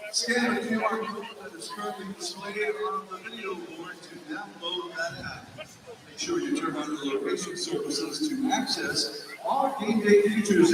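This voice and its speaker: A man reading scripted promotional announcements as a public address announcer in a large indoor arena. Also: distant mic. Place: large indoor arena over loudspeakers, far from mic. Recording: booming echoey arena PA, loud crowd.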